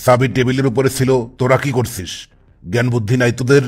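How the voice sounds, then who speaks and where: A man's voice: mid-range voice, steady tone, fairly quick, darkish tone, close to an everyday voice. A man voicing a bossy, irritable kidnapper boss in a comic cartoon, scolding and commanding in colloquial Bangladeshi Bengali. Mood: irritated scolding. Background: music.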